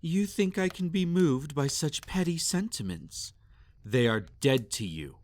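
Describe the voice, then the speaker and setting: A man playing a sadistic, possessive vampire master in a roleplay audio, speaking formally and condescendingly with silky menace and drawn-out commands. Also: fairly high pitch, animated, a little mellow. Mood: angry, possessive. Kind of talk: roleplay audio acting, intimate and theatrical.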